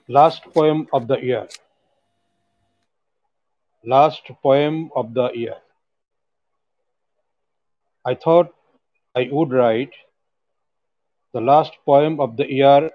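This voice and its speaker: An older man, reciting his poem very slowly and haltingly over a close webcam at home. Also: medium pitch, no room sound, lo-fi sound. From Bangladesh. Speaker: poet. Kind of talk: poetry reading. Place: home room with bookshelves. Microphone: webcam close up on video call.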